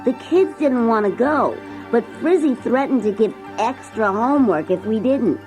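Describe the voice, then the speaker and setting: Lively, higher-voiced woman storyteller. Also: moderate pace, poor audio. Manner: expressive storytelling. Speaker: story narrator. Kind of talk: scripted children's story narration. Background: music.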